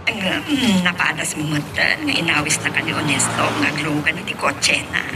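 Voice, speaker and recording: A woman acting a haughty, prying boss's wife in an Ilocano radio drama, sharp and sarcastic in her questioning. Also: low voice, moderate pace, over music, lightly acted. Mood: prying, suspicious.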